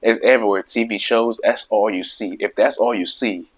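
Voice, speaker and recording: A man's voice: fairly low pitch, steady tone, normal speed, breathy edge. A man hosting his own video who stutters and repeats words, making emphatic points over and over in a casual monologue. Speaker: video host. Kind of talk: casual opinion monologue to camera.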